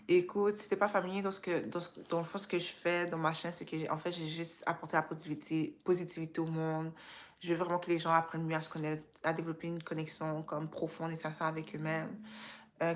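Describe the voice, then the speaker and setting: Low-voiced Québécois woman chatting. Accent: Quebec French with some Creole and English influence. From Quebec, Canada. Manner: informal, peppers French with English slang and tag phrases. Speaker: self-care live stream host. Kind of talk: casual motivational live chat.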